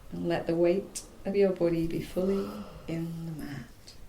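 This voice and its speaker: Low, creaky woman guiding relaxation. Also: flat delivery, dark tone. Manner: soothing, unhurried, pausing mid-phrase. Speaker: yoga nidra teacher. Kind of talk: guided relaxation meditation. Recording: roomy echo, faint low rumbling noise.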